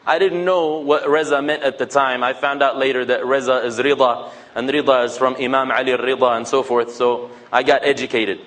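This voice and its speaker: A man's voice: medium pitch, fairly even intonation, normal speed, few pauses, a bit bright. A man, giving a casual, joking religious talk to young people and acting out bits of dialogue. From United States. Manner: conversational, joking, acts out quoted dialogue.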